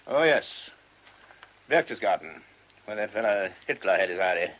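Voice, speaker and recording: An actor playing a courteous, earnest monastery abbot with a British accent and a hesitant, genteel manner in a radio play. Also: male voice, on the low side, lively intonation, normal speed, fairly bright tone, low-quality recording. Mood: earnest, explaining. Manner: hesitant, genteel, old-fashioned English turns of phrase. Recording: old radio drama recording.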